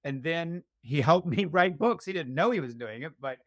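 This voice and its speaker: A man's voice: on the high side, expressive, moderate pace, a crowd in the background. A middle-aged man from Virginia's Shenandoah Valley, chatting as an interview guest in a rambling, self-deprecating, joking way. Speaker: guest author. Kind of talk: casual interview chat.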